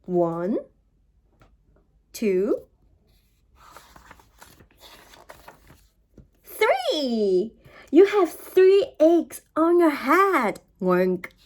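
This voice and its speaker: Lady doing a chill little pig from a kids book, slow, matter of fact, helpful. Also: high voice, wildly swooping pitch, voice pushed up, exaggerated voice. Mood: informative.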